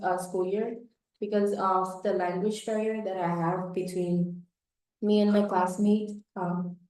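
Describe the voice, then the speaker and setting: Give me a young Tongan woman speaking hesitantly, picked up from far away. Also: medium pitch. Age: teenager. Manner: slow, hesitant, many fillers. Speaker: student speaker. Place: live event hall. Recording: echoey room, voice from far off.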